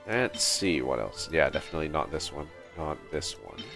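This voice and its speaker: Very deep-voiced man muttering over a game. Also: lively intonation, creaky, over music. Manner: muttering to himself, halting, thinking aloud. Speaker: game livestreamer. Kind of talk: casual livestream gameplay commentary.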